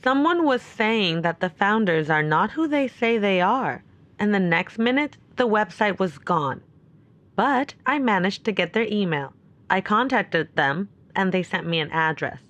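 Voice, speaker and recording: A woman playing a worried, caring and suspicious character in an audio drama, speaking naturally and earnestly with gentle insistence. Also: mid-range voice, lively intonation, a little slow. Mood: uneasy, curious.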